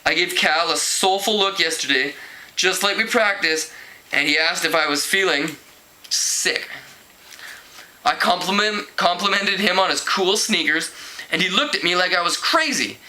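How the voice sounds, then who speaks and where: A man's voice: high-pitched, animated, a little slow, sharp tone, heavily acted, poor audio. A man reading the part of a lovesick, scheming teenage girl in a novel, dramatic, complaining and insistent. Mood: exasperated.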